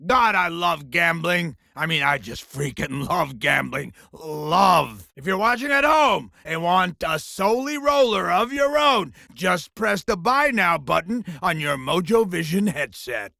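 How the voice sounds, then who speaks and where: A man's voice: high voice, creaky, bright, forceful tone, dry recording, studio quality, heavily acted. A man voicing a bombastic, gleefully cruel alien game-show host villain in a video game, with a hammy, grandiose announcing delivery. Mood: excited hype. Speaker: voice actor.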